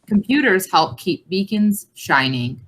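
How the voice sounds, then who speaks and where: A woman's voice: medium pitch, very animated, a little slow, poor audio. A woman reading a children's nonfiction book aloud as a read-along presenter.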